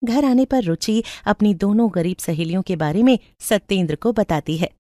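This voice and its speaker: A woman's voice: medium pitch, normal speed, warm tone, no room sound, clean studio sound. A woman reading scripted storybook narration.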